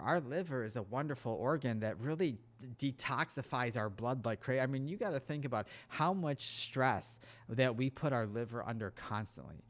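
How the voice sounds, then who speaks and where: A man talks at an ordinary middle pitch, in a casual talk-show monologue. He comes across earnest and a little preachy, rambling from point to point. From United States.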